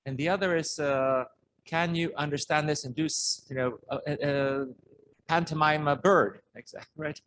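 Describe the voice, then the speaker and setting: A man giving an informal academic comment, halting and rephrasing as he goes. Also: on the high side, fairly even intonation, a bit bright, a little hiss or hum. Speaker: academic discussant.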